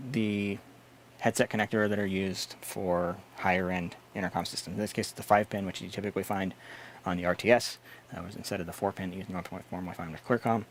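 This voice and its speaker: A man hosting a gear video, explaining products in a casual, conversational way. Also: lowish voice.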